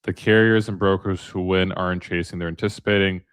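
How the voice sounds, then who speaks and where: A man's voice: sits low, fairly even intonation, a little fast, a bit bright, clean tone, dry recording, clean studio sound. A man hosting a casual podcast chat, easygoing, reading posts aloud to his co-host. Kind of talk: casual freight market chat.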